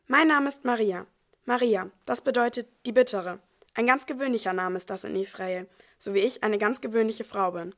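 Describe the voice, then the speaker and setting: A woman's voice: on the high side, normal speed, lightly acted. A woman playing a devout, ordinary young expectant mother who tells her story in a scripted nativity audio play. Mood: wondering, subdued.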